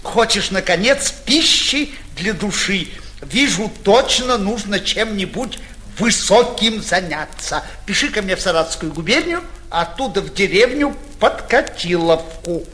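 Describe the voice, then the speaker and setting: Breezy self-important young man, high and bright. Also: animated, unhurried, slight background noise, heavily acted. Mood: smug, breezy. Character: breezy, self-important young idler writing to his friend. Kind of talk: 1940s Russian stage acting, letter monologue.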